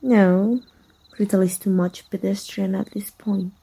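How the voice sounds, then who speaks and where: A woman playing a jealous, possessive female villain in an intimate ASMR roleplay, teasing in a husky, breathy murmur. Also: medium pitch, steady tone, a little slow, dark tone. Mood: seductive, smug. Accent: Mexican.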